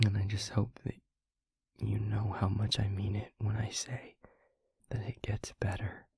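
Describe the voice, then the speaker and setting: A man playing a tender, haunted male vampire boyfriend in an intimate audio roleplay, speaking haltingly and earnestly in a breathy, husky hush. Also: lowish voice, completely flat delivery, dry recording, exaggerated voice. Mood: tender, reassuring. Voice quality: breathy, husky near-whisper. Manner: halting, hushed, earnest delivery with heavy pauses.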